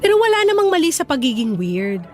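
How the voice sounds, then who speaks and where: A young woman voicing a supportive girlfriend in casual, expressive radio drama dialogue. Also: high voice, fast talker. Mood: reassuring. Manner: expressive, casual, sympathetic, code-switching into English. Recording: faint music under dialogue.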